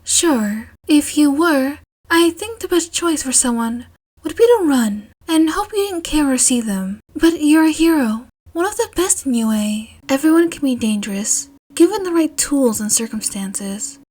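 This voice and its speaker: A woman's voice: high voice, expressive, unhurried, darkish tone, voice pushed up. A woman voicing a confident, caring teenage girl in a narrated story. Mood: confident, reassuring.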